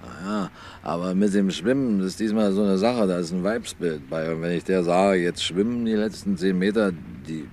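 A sly, callous seaman in a 1950s radio play. He has a low, rough-mannered colloquial mutter, heard over faint noise in the old recording. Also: moderate pace, hardly any pauses, darkish tone. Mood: sly, complicit. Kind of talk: 1950s German radio drama acting.

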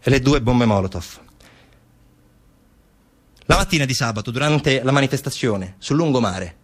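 Male actor-narrator for a stage monologue, mid-pitched, conversational storytelling delivery. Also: animated, normal speed, slightly rough. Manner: acts out quoted voices, conversational; rhetorical questions to the listener.

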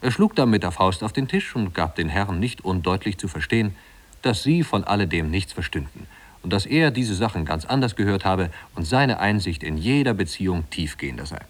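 Male storyteller for vintage radio drama, low register, composed, articulate literary narration. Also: animated, a little fast. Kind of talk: literary scripted narration, 1950s German radio play.

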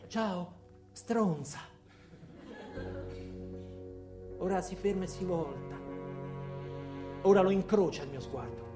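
An actor playing an ordinary man turned bitter and cruel, delivering a deliberate, theatrical first-person monologue for a radio drama. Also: high-pitched, music in the background. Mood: tense, spiteful. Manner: theatrical, deliberate, swinging from loud to hushed.

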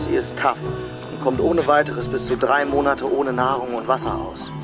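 Male actor as matter-of-fact donor, radio play letter; mid-pitched, loud guitar music behind. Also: steady tone, normal speed, lightly acted. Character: male donor presenting odd fighting gifts deadpan. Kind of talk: radio drama, letter-like monologue.

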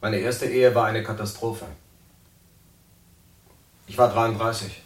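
A man's voice: fairly low pitch, little pitch movement, slow pace, slight background noise. An actor playing a middle-aged asylum patient in an audio drama, recounting his life in a reflective, meandering and slightly snobbish way. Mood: reflective, detached. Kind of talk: monologue of recollection in audio drama.

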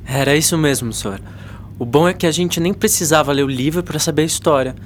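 A man's voice: fairly high pitch, brisk, a little hiss or hum, natural voice. A man playing a cheeky, slacking teenage schoolboy in a Brazilian radio drama, informal and joking.

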